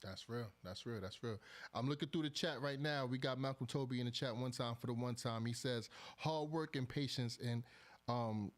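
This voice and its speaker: Young man, podcast host, casual joking banter, slangy, laughs often, mid-pitched voice. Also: normal speed.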